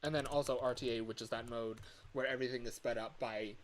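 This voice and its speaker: A man talking casually on a livestream as a game developer, thinking aloud in a hesitant, halting way. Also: medium pitch, fairly even intonation, a little hiss or hum.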